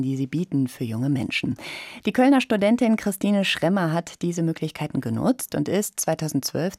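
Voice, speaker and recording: A woman radio host interviewing guests and moderating the program with polite, measured questions. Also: deep voice, creaky, mellow.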